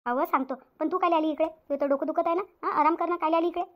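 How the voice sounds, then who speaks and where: Woman acting a rapid-talking, resigned village sister-in-law. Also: extremely high pitch, fairly even intonation, dull audio. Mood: explaining. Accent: rural Maharashtra, Varhadi Marathi. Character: harried elder village sister-in-law, resigned, dutiful, accommodating. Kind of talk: domestic cartoon story dialogue.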